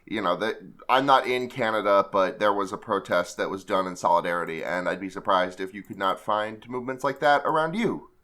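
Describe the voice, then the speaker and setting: A man hosts a casual podcast in a bright, forceful voice that is hoarse from strain. He jokes at his own expense and swears easily, with an animated delivery. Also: low-pitched, fairly quick, few pauses. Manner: animated, joking, swearing, self-deprecating. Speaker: podcast host. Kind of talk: casual comedic podcast chat.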